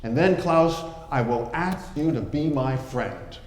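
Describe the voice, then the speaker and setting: A man's voice: medium pitch, lively intonation, takes it slowly, darkish tone. A man playing a proud landowner pinned in the woods, speaking earnestly to his rival. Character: proud trapped landowner man, turning conciliatory. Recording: echoey hall with long reverb.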